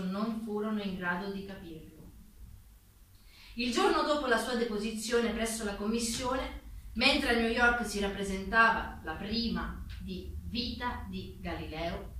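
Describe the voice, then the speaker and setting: A woman narrates from a script in a bright, projected voice. She sounds distant, in a strongly reverberant space, with faint noise in the recording. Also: medium pitch. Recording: echoey, distant, faintly noisy stage recording.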